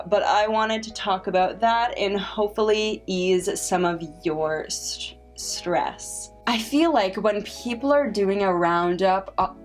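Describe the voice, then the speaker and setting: A woman in her twenties with a stutter, talking casually and reflectively to camera as a vlogger, with frequent repeated sounds and restarts. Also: mid-range voice. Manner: slow, reflective and encouraging.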